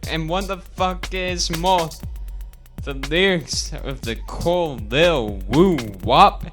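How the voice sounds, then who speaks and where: A man voicing an old male farm pig elder in a parody storybook, a solemn visionary with declamatory, drawn-out, slang-heavy speech. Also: fairly high pitch, a bit bright, soft background music, pitched above the performer's own voice. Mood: solemn, proud. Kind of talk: parody storybook character reading.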